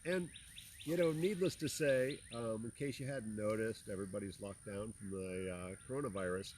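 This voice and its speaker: A man's voice: fairly low pitch, normal speed, darkish tone, slight background noise. A man from the Bahamas, an herbal teacher, welcoming viewers in easygoing, folksy chat before an outdoor class. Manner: easygoing and folksy, with many fillers. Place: outdoors among plants, with birds and insects.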